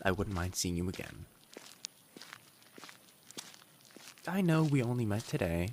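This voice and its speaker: A man playing a friendly, attentive young kitsune college student in an audio roleplay, speaking directly to the listener with soft teasing and hesitant pauses. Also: mid-range voice, noisy background. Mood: tender, encouraging. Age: young adult. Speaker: campus guide to a new student. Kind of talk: scripted boyfriend-style audio roleplay.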